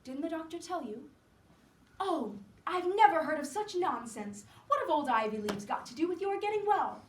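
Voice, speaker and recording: A woman's voice: very high-pitched, animated, moderate pace, pitched above the performer's own voice. A woman voicing an anxious, motherly young woman who coaxes and fusses emphatically in a dramatic story. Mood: anxious, coaxing. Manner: emphatic, coaxing, flustered. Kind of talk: solo dramatic storytelling performance. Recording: echoey room, distant mic, background noise.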